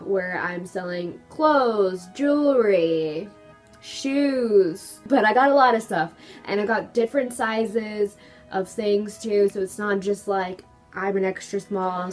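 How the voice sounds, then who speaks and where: A woman's voice: medium pitch, fairly even intonation, unhurried, faint music behind. A young woman vlogging casually from home, chatty and rambling with lots of fillers, her voice stuffed up and congested. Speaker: hair tutorial vlogger. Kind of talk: casual vlog chat.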